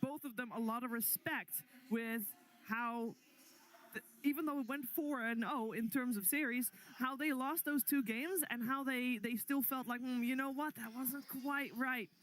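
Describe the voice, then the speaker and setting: A woman's voice: a little high, normal speed, a bit bright, slight background noise. A woman hosting an esports analysis desk who speaks fluently and hands the talk to her co-hosts.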